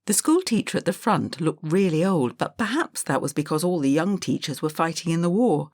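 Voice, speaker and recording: A woman narrating a children's audiobook in a calm, measured storytelling manner. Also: sits low, dry recording, studio quality.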